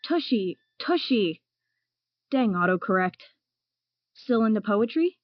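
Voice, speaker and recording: A woman's voice: mid-range voice, animated, slow, no echo, dull audio. A woman playing a mysterious girl who texts in a casual, offhand way. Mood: breezy.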